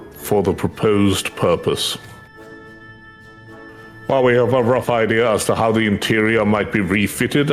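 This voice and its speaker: A man's voice: on the low side, voice pushed down, close to an everyday voice. A man voicing a dutiful army soldier in a fantasy game, speaking formally and respectfully.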